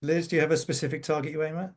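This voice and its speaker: A man's voice: a little high, level tone, very fast. A man speaking as an interview guest, explaining his writing work informally, with hesitant, repeated phrases.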